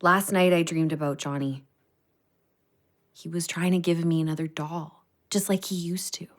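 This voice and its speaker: A woman's voice: fairly low pitch, fairly even intonation, moderate pace, lightly acted. An actress playing an earnest, loyal, spiritually minded woman friend in a naturalistic audio play, speaking with sincerity. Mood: gentle, tentative. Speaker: voice actor in audio drama.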